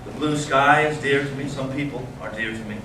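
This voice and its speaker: A man playing a bitter, intense young intellectual brother, reading deliberately and earnestly in a staged reading. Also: medium pitch, close to an everyday voice. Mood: wistful, passionate. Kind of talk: staged readers theater reading. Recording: echoey room, distant mic, noisy amateur recording.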